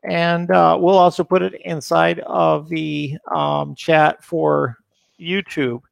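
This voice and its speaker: A middle-aged man with a gravelly voice co-hosting a live webinar, talking hesitantly with many ums and uhs. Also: fairly high pitch, flat delivery, slow pace, no echo. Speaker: webinar co-host.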